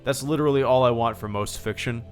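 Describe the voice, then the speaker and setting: A man's voice: medium pitch, fast talker, faint music behind. A man in his thirties hosting a casual livestream, rambling with lots of fillers and restarts and reading out thanks to viewers. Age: 30s. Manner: reads out viewer thank-yous. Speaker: livestream host.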